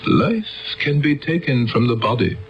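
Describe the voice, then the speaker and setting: An actor playing a cold, commanding elder of a futuristic council in a solemn radio drama style. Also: male voice, medium pitch, sing-song. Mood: grave, implacable. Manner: solemn, deliberate. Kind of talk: radio mystery drama acting. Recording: dull old radio broadcast, some noise.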